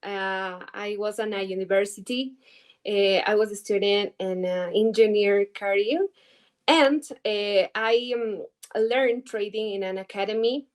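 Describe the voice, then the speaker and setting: Slow, halting young Ecuadorian woman. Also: mid-range voice. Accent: Ecuadorian Spanish. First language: Spanish. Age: 20s. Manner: halting, searching for English words with many fillers. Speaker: guest trader. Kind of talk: interview answers over video call.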